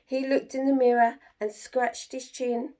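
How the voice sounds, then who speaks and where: A woman's voice: high voice, little pitch movement, a little slow, warm tone. A woman storyteller reading a children's picture book aloud with expression.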